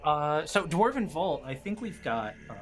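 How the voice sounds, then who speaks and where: A man playing a tabletop roleplaying game, talking casually with hesitant, measured pauses. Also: a little high, very animated. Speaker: guest player running the monster. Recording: faint music behind. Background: background music.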